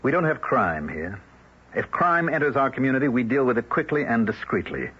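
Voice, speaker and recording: An actor playing a stern male village elder in an old-time radio drama, formal, measured and quietly menacing. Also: medium pitch, big pitch swings, breathy edge. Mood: cold, authoritative. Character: stern, controlled village elder laying down law. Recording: dull vintage radio recording.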